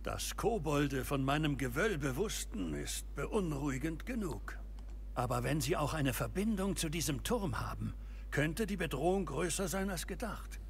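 An actor playing a grave old wizard keeper in a video game, speaking formally and with measured care. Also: male voice, fairly high pitch, expressive, creaky, a little hiss or hum. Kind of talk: scripted video game dialogue.

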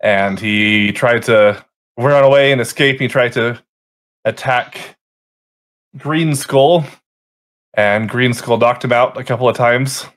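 A man's voice: medium pitch, takes it slowly, long pauses, a bit bright. A man in the game master's seat talks through what happened last session in a loose, rambling way. He stops often to correct himself and pick up the thread again. He speaks through a home mic on a voice call. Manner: casual, rambling recap with self-corrections. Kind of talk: recapping a tabletop game session.

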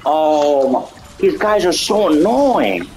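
A man chatting casually with friends while playing a battle royale game, reacting quickly and excitedly. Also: fairly high pitch, a little hiss or hum. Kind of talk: casual gameplay chat.

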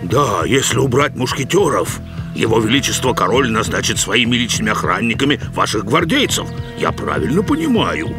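A man's voice: medium pitch, sing-song, normal speed, music in the background. A man voicing an obedient, cunning dog henchman in a dubbed cartoon, formal and deferential in his speech. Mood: servile scheming.

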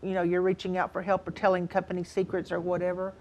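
A woman's voice: fairly low pitch, completely flat delivery, quick pace, a little mellow, muffled recording, a little hiss or hum. A woman in her sixties explaining things as a counselor and guest in a studio interview. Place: TV studio, seated indoors.

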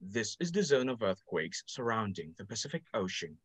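A student voicing a calm, formal documentary narrator in a school radio drama. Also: mid-range voice, moderate pace, husky, dry recording, pitched below the performer's own voice.

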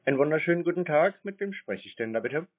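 A man's voice: a little high, expressive, fast talker. A man in a formal, probing phone conversation, insistent and lecturing. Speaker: call recipient confronting phone scammers. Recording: tinny, narrow phone line.

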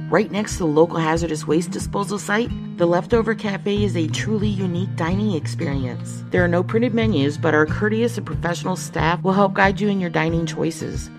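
Female actor doing parody commercial announcer, straight-faced, polished, low-pitched, music bed underneath. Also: natural voice. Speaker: advertisement announcer. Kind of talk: scripted parody radio commercial.

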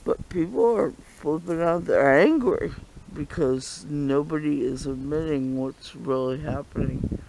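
A man vlogging on a walk through a bare forest, talking earnestly and insistently, pausing often. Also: fairly high pitch, vocal fry, darkish tone, a little hiss or hum, poor audio. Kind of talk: walking vlog monologue.

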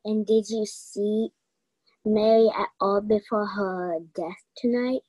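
Young woman playing insistent detective, husky, unhurried, dry close sound, amateur radio play. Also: mid-range voice, steady tone, mellow, no room sound, rough recording. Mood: questioning. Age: young adult. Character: insistent young female murder investigator.

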